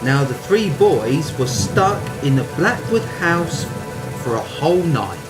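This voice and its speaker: Radio play narrator, takes it slow, voice goes up and down a lot, music underneath. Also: mid-range voice, very animated, low-quality recording.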